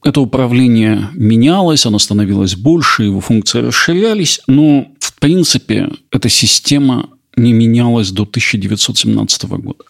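A male podcast host giving measured, scripted narration about history. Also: mid-range voice, lively intonation, creaky.

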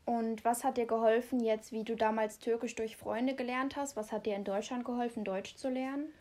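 A woman's voice: on the high side, fairly even intonation, a little fast, darkish tone. Woman asking long, careful questions. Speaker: interviewer. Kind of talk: interview questions.

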